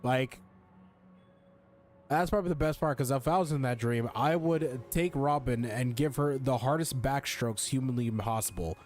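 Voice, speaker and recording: A man's voice: mid-range voice, moderate pace, a little mellow. Slangy, joking male game streamer. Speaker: gaming streamer. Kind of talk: casual playthrough commentary. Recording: faint background noise with game ambience.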